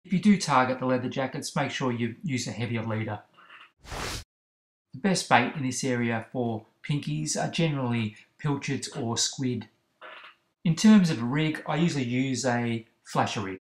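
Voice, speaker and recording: Aussie guy explaining fishing spots, steady how-to voice, echoey room sound. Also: mid-range voice, normal speed, slight background noise. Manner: steady, instructive delivery. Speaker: fishing channel host. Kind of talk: instructional voice-over about kayak fishing spots. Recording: roomy, echoey recording.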